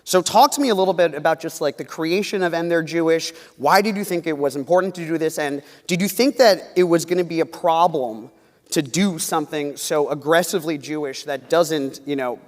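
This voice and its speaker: A man's voice: a little high, fairly quick. A man from New York with an American accent, hosting a live conversation in an informal, irreverent, teasing way. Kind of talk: joking live stage interview. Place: indoor event hall in front of an audience. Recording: reverberant hall, live stage event.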